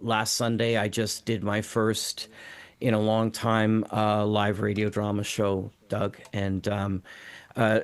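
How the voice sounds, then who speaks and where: Man, fairly low voice, drama teacher, reflective unscripted panel talk. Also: completely flat delivery, slow, clean tone.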